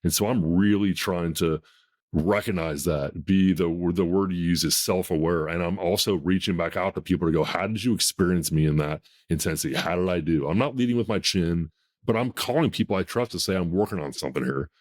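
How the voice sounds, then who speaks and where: Brief: deep-voiced male podcast host in his fifties, very low, blunt and commanding. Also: a little fast. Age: 50s. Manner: blunt, emphatic, self-assured. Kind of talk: casual podcast conversation.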